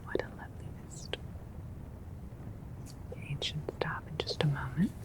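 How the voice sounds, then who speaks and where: Female performer for a gentle alien doctor admiring a creation, low-pitched whisper, clinical and soothing, ASMR roleplay. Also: moderate pace, lightly acted. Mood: clinical, reassuring.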